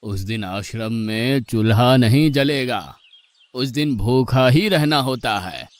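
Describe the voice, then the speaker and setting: Man playing a slow-speaking, patient ashram guru. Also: medium pitch, no echo, lightly acted. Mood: explaining. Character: patient, pious male ashram guru, gently exasperated. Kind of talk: animated moral story dialogue in Hindi.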